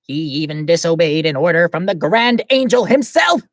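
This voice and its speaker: A woman voicing a grave, superior guardian angel who speaks slowly and solemnly. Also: on the low side, animated, dry recording, clean studio sound, pitched above the performer's own voice, lightly acted. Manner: slow, solemn.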